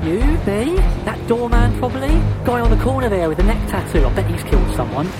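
A woman's voice: fairly high pitch, animated, a little fast, lightly acted. An actress playing a cynical, matter-of-fact woman in an audio drama. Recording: loud music under the voice.

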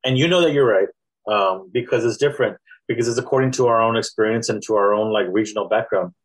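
A man with a South Texas accent and a somewhat low voice speaks in a relaxed, reflective way. He pauses often and restarts his sentences while he works through an idea. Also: low-quality recording. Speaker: guest poet. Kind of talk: casual interview conversation.

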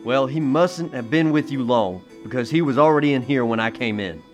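Man acting fierce defensive businessman, mid-pitched, slangy tough talk, old-time radio mystery, music underneath. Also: brisk, natural voice. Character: brash, fierce, defensive male 1930s businessman with a pistol. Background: music behind the voice.

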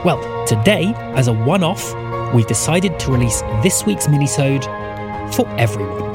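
Animated man reading announcements over loud music. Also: medium pitch, moderate pace. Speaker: podcast host. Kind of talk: scripted announcement and credits.

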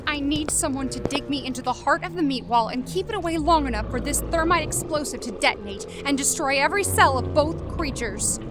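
A woman playing a glib, quick-witted time-traveling adventurer with a wry, brisk manner in an audio drama. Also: high voice, background noise, close to an everyday voice. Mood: grim, wry.

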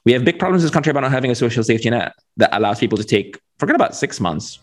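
A man at a medium pitch. He talks casually as an interview guest, explaining his thoughts and often restating phrases as he goes. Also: sing-song, very fast, faint music behind. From Egypt. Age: 40s. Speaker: interview guest, venture capitalist. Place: remote video call.

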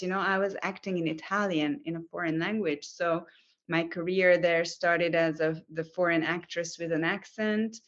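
A determined woman tells her acting story in earnest, often breaking off and restarting her sentences. Her voice sits a little low. The recording sounds dull and lo-fi. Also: a bit bright. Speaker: actress, podcast guest. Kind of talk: interview storytelling. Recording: dull lo-fi audio.

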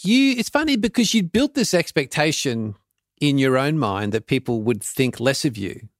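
A man's voice: mid-range voice, no room sound, studio quality. A man hosting a podcast, asking curious, thoughtful questions in a conversational way. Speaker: podcast host. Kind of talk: conversational interview.

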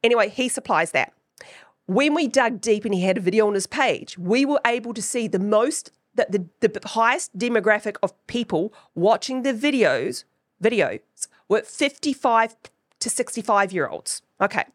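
A woman's voice: fairly high pitch, animated, normal speed, dry recording, studio quality. A woman with a New Zealand accent hosting her own podcast, teaching in a chatty way and often checking in with "right?". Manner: chatty, keeps asking "right?". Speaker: podcast host.

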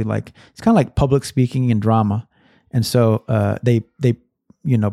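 A middle-aged man from Massachusetts speaks with a gravelly, mellow voice. He talks casually, full of fillers and restarts, into a dry close microphone. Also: medium pitch, no room sound. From Massachusetts, USA. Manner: many fillers and restarts, thoughtful. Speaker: guest, education nonprofit founder. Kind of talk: casual conversational storytelling.

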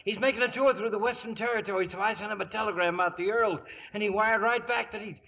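An actor playing an excitable, fussy small-town frontier mayor, eager and breathless, in 1950s radio comedy style. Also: male voice, high voice, fast, highs cut off. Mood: excited, flustered. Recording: faintly noisy old radio broadcast.

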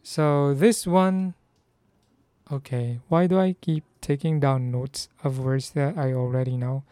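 Hoarse young Filipino man chatting. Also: medium pitch, takes it slowly, dark tone, dry recording. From Philippines. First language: Filipino language. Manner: chatty, self-correcting, reads phrases aloud. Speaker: language learner. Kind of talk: casual vlog about learning Korean.

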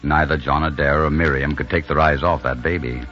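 A man's voice: very deep voice, steady tone, brisk, faint music behind. An actor playing a brash, devoted romantic leading man who also narrates, in a 1940s radio drama. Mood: somber narrating. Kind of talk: 1940s radio acting with first-person narration. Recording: muffled old radio recording, noisy.

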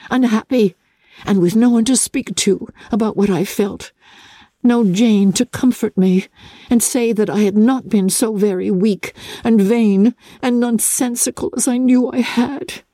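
Woman voicing a witty, spirited young gentlewoman. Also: mid-range voice, a little slow, dry recording, clean studio sound, pitched above the performer's own voice, close to an everyday voice. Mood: rueful. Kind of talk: dramatic classic novel reading.

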